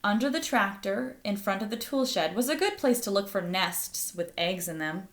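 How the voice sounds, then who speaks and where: A woman's voice: mid-range voice, lively intonation, a little hiss or hum. A woman reading a children's picture book aloud as a storyteller, addressing young listeners directly. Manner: pauses to ask listeners questions.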